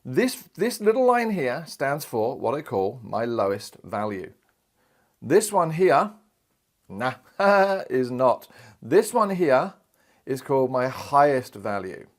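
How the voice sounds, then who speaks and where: A man in his thirties, from Australia, teaching a recorded maths lesson in a chatty, animated way. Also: medium pitch, takes it slowly. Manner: lively, chatty, acts out quoted voices. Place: indoor studio in front of a screen.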